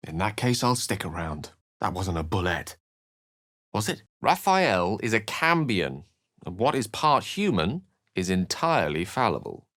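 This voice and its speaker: Casting: erudite game wizard, lowish voice, wide melodic swings, urbane, dryly witty, theatrical and articulate. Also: male voice, big pitch swings, measured pace, fairly bright tone. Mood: wry, conversational. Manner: theatrical, articulate, formal wording. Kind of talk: video game character dialogue.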